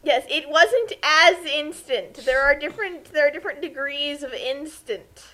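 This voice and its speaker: A woman with a high voice and a bright tone gives casual comedic commentary. She is playfully nitpicky and talks in a conversational, joking way. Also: measured pace, low-quality recording.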